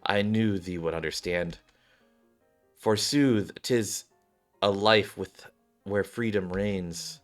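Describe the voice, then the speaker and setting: A man's voice: lowish voice, slow, long pauses. A man voicing a carefree, poetic swordswoman from a game in flowery old-fashioned diction. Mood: carefree, courtly. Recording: background game music.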